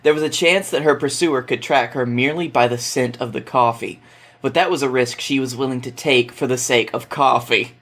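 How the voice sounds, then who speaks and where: Mid-pitched man as podcast guest. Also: steady tone, normal speed, fairly bright tone. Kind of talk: casual podcast talk and reading a story aloud.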